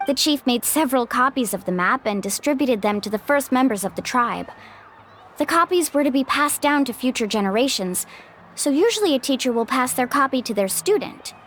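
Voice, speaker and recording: An upbeat young guide. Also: female voice, high voice, animated, fairly quick, slight background noise. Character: upbeat young woman guide, eager and friendly. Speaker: tour guide. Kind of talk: video game voice acting. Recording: game audio with faint music. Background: soft game music.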